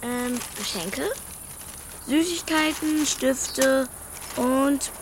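Young schoolgirl, radio drama, plain-spoken, stubborn, higher voice, faint noise behind. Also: extremely slow. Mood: matter-of-fact. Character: young schoolgirl, frank and stubborn little sister. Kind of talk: naturalistic children's radio drama acting.

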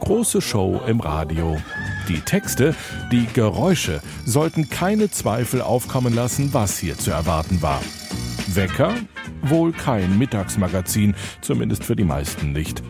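A man's voice: fairly low pitch, sing-song, measured pace, vocal fry, over music. A man presenting a scripted radio documentary as its narrator.

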